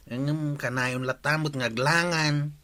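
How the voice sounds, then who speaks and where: A stubborn village man in a radio comedy, arguing back. His voice is fairly high and bright. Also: steady tone, measured pace. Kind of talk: radio comedy drama acting.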